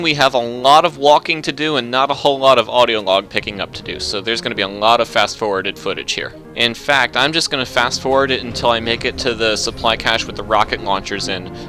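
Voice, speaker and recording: A man narrating a video game walkthrough in a relaxed, instructive, matter-of-fact way. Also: mid-range voice. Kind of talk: casual gameplay commentary.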